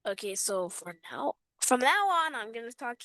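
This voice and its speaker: A woman teacher reading out quiz questions and telling viewers to pause the video, in a chatty, instructing manner. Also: fairly high pitch, very animated, bright, forceful tone, no echo.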